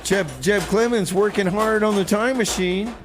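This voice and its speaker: A man's voice: high-pitched, steady tone, moderate pace. A man casually announcing songs and bantering as the host of a community radio music show. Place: radio studio.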